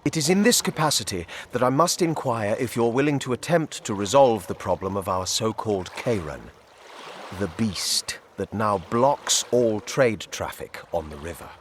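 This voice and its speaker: A man's voice: on the low side, expressive, moderate pace, a little hiss or hum, lightly acted. A man voicing a pompous, officious small-town official in a video game, formal and wordy. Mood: self-important.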